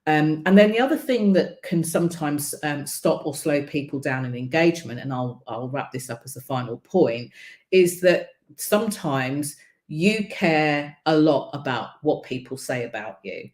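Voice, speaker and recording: A woman coach with a deep voice and no marked accent, talking casually in a broadcast and filling her speech with ums and you-knows. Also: lively intonation, normal speed, darkish tone, reverberant. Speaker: visibility coach hosting a broadcast. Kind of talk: casual spoken coaching talk.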